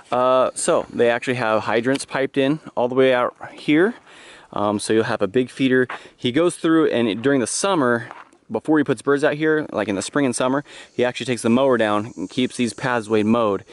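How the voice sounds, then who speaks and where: A man in his thirties giving an informal, off-the-cuff walking tour on a handheld camera outdoors. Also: mid-range voice. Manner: informal, loosely explanatory. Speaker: farm tour vlogger. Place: outdoors in farm pens. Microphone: handheld action camera at arm's length. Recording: outdoor camera audio with noise and clicks.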